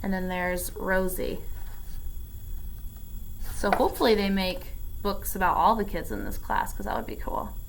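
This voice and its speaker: Expressive woman reading a children's storybook. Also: mid-range voice, normal speed, creaky, long pauses, rough recording. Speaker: read-aloud storyteller at a school. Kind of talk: children's storybook read-aloud with casual asides. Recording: faint background noise.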